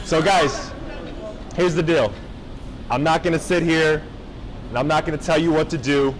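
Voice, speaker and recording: A man's voice: a little high, normal speed, slight background noise, rough recording. Casual young man speaking to students. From Japan. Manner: casual, engaging, checks in with the audience. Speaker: motivational speaker. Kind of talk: motivational talk to students. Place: high school gathering space.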